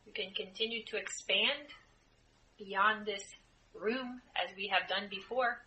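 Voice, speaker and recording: A woman leading a guided group meditation as a spiritual channeler, speaking in measured, deliberate phrases with frequent pauses. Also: mid-range voice, fairly even intonation, sharp tone, low-quality recording. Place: indoor room, live group setting. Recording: live group room, clear background noise.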